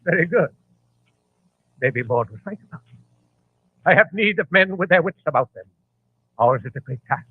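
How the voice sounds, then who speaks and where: Agitated grandiose fanatic, husky, swooping pitch. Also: male voice, animated, normal speed, long pauses. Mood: gratified, grandiose. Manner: grandiose, agitated, repeats himself. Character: vain, nervous, grandiose fanatic leader of a secret society. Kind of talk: old-time radio drama acting. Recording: tinny lo-fi vintage radio recording.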